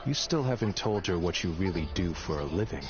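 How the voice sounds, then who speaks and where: A man's voice: deep voice, expressive, fairly quick. An actor voicing a solemn, loyal agent of a king in a video game, formal and grandiose in delivery. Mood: grave, frank. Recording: dull game audio under loud music.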